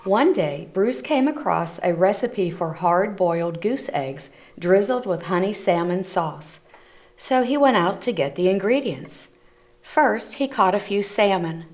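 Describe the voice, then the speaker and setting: A woman reading a picture book aloud to children, gently explaining the pictures as she goes. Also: mid-range voice, expressive, a little slow. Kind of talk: read-aloud storytelling for children. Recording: community TV recording.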